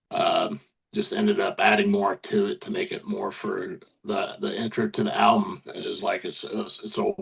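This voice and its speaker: A man answering interview questions casually as a musician, haltingly searching for his words. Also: lowish voice, creaky, fairly bright tone, husky, low-quality recording.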